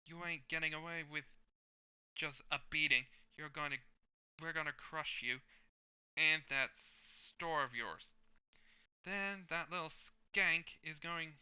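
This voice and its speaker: A man's voice: fairly high pitch, slow pace, bright, forceful tone, smooth, no echo, highs cut off, pitched above the performer's own voice, full character voice. A man voicing a sneering young male street thug who taunts and bullies, with a raised, mocking voice. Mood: menacing.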